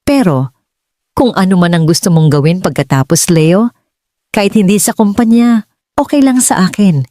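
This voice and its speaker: A woman with a Filipino accent voicing an earnest middle-aged businessman father in an audiobook, speaking haltingly to his son. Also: medium pitch, lively intonation, mellow, no room sound, studio quality, lightly acted. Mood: gently supportive. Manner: halting, searching for words. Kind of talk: audiobook dialogue.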